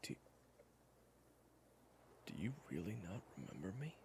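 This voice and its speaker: A man playing a worried, exasperated friend in an audio roleplay, speaking haltingly and naturalistically. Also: low-pitched, big pitch swings, background noise, heavily acted. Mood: cautious, soothing. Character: worried, exasperated male friend of an amnesiac, gently explaining. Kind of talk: audio roleplay acting, one-sided dialogue.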